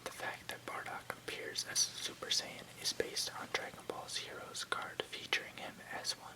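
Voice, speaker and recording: A person reading an article aloud in a breathy, unvoiced ASMR whisper, careful and occasionally stumbling over words. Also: normal speed, rough recording.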